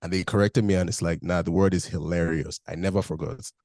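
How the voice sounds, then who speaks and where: A man's voice: low-pitched, steady tone, quick pace, darkish tone, no room sound, clean studio sound. A young man from Nigeria with a mixed Nigerian, British and Canadian accent, telling his story candidly in a casual interview and leaning on "like" a lot. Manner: candid, storytelling, heavy use of "like". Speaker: guest.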